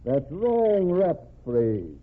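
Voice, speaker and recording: Casting: dignified governor, husky, slightly high male voice, formal and stern, radio crime drama, phone-like old recording. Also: wildly swooping pitch, full character voice. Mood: stern, suspicious. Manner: formal, measured, authoritative. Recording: tinny band-limited old radio recording.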